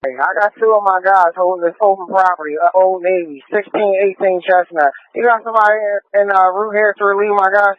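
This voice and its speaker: A man's voice: high voice, steady tone, brisk, few pauses, dry recording. A male police officer making requests and reports over a two-way radio. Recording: tinny band-limited police scanner radio.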